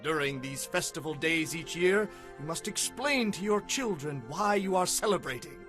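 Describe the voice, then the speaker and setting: Casting: male actor as solemn, fervent biblical prophet; raised, forceful voice, declamatory, music underneath. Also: a little high, animated, moderate pace. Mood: solemn, exhorting. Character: Moses, fervent male prophet solemnly addressing his people. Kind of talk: dramatized Bible reading.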